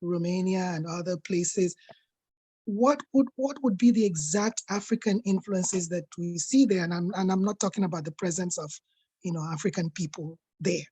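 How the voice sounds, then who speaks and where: A woman's voice: lowish voice, moderate pace, warm tone, husky. A woman asking a question in an online seminar, rephrasing it as she goes. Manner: inquiring, rephrasing her question. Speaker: audience member asking a question.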